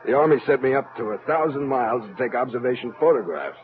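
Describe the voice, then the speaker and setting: A narrow-band vintage radio recording carries a man acting as a brash spaceship major. He talks casually and bluntly, with folksy turns and very animated, mid-pitched intonation. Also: fast, faint music behind, close to an everyday voice. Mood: jocular. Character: brash, plain-spoken military spaceship pilot, a major. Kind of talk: old-time radio drama acting.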